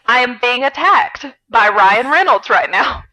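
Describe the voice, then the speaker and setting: Bright, animated woman bantering. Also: a little high. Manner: animated, quick with playful quips. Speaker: podcast co-host. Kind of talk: casual pop-culture banter.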